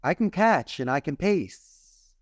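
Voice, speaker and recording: A man's voice: fairly high pitch, expressive, slow pace, dry recording, clean studio sound. A man in his fifties with a Philadelphia accent, telling his story as a guest in an emphatic, speech-like way. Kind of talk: interview storytelling.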